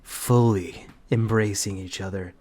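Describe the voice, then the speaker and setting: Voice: low-pitched, fairly even intonation, a little slow, a little mellow. A person giving a comedic dramatic reading in a giggly, sarcastic manner, breaking off to comment. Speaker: co-reader and commentator. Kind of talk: comedic dramatic reading of fan fiction with banter.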